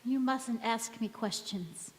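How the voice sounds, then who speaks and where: A woman acting a mysterious, wistful young stranger in a stage radio drama, speaking in a gentle, cryptic way. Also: fairly high pitch, slow, husky. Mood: wistful, polite. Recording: echoey hall with long reverb.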